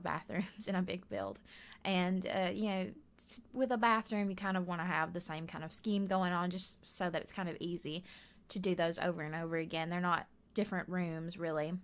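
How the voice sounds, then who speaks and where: Hoarse woman rambling over gameplay. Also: lowish voice. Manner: rambling, many fillers, soft laughs. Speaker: gaming video creator. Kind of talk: casual voiceover over a speed build video. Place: bedroom at home.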